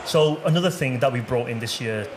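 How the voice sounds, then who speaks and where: A man's voice: medium pitch, a little fast, crowd noise. A man with a Manchester accent, chatting casually and a bit hesitantly as a game developer showing off a football game. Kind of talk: casual chat during gameplay. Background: music behind the voices.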